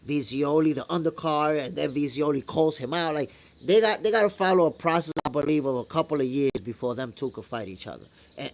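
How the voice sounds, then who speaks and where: A man with a fairly high voice and a New York accent talks boxing on a live stream. He is conversational and slangy, speaking straight to his viewers like friends as he gives his opinions. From New York, after moving from the Dominican Republic at eight. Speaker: boxing show host. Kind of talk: casual live-stream commentary, answering viewer comments.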